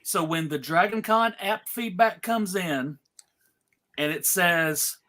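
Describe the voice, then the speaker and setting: A male panel host with a bright, cutting voice. He takes his time as he talks casually and playfully, introducing and chatting on a video call. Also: high-pitched, slow. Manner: playful, jokey. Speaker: panel host and convention track co-director.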